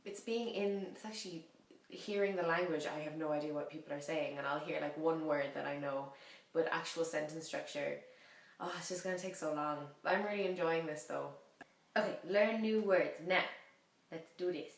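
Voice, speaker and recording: Woman vlogger, low voice, rambling and playful, roomy echo on the recording. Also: moderate pace. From half French, French mother. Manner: rambling, self-correcting, chatty and playful. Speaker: vlogger learning a language. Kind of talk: casual chatty vlog.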